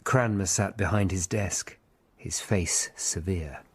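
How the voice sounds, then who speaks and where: Deep-voiced sombre Tudor narrator. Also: male voice, exaggerated voice. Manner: measured, literary. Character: sombre, watchful Tudor investigator narrating his memories. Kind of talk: scripted first-person drama narration. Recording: clear background noise and ambience.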